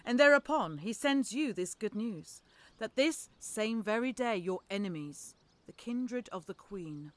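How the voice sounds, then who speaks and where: A woman playing a sly, courtly go-between in a Shakespearean audio drama, with a measured, deferential manner. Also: medium pitch, lively intonation, no echo, close to an everyday voice. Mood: insinuating.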